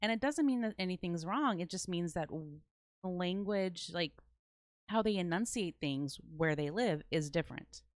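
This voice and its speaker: Blunt Midwestern woman, low dry voice. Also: lively intonation, moderate pace, dry recording. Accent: Midwestern American. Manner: blunt, outspoken, swears freely. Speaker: podcast host. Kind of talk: casual chat.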